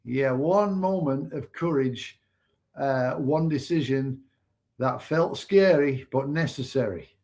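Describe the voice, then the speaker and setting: Man from England, mid-pitched voice, hesitant, filler-heavy livestream co-host. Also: slow pace. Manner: hesitant, many fillers and restarts, softer than co-host. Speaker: online business mentor and livestream co-host. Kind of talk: casual livestream chat.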